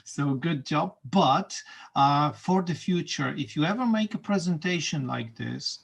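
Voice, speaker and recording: A man moderating an online school video meeting, relaxed and chatty, with many fillers and small laughs. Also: on the high side, unhurried, creaky, breathy edge. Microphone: video call.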